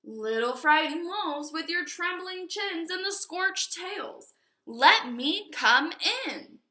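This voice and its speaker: A woman's voice: very high-pitched, expressive, exaggerated voice. Woman playing a big bad pig, drawling threats. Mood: menacing. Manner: drawn-out, loud, threatening. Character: big bad male pig, menacing storybook villain. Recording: echoey room.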